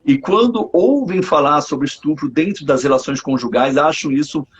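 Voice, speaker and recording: A man, hoarse, speaking as a psychologist guest in a casual live interview, hesitant and full of restarts. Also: a little high, moderate pace, highs cut off. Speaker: psychologist and sex therapist guest.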